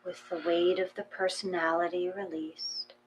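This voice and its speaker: A woman reading a gentle guided meditation in a calm, measured manner, with long pauses between short phrases. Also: lowish voice, lo-fi sound, slight background noise.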